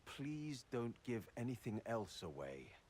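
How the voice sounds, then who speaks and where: A man's voice: medium pitch, sing-song, unhurried, a little mellow. A man playing a fussy, exasperated official in a video game, prim and a stickler for rules. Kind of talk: video game cutscene acting.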